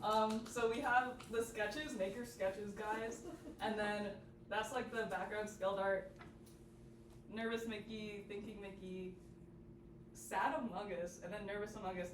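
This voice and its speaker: A young woman giving a casual, chatty student workshop presentation. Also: medium pitch. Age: young adult. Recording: echoey, noisy room, distant mic.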